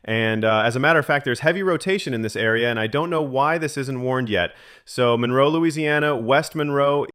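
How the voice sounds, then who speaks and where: A man playing a halting TV weatherman. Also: medium pitch, a bit bright, clear, resonant tone, natural voice. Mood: concerned. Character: male TV chief meteorologist warning of a tornado. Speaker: TV chief meteorologist. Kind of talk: live weather bulletin.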